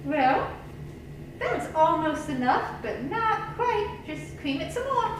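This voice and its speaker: Woman voicing very high-pitched folksy baker puppet. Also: big pitch swings, slow pace, full character voice. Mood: patient. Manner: folksy, patient step-by-step instructing. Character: patient, friendly female baker puppet, home cook. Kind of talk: children's educational puppet show. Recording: echoey room, distant mic, noisy.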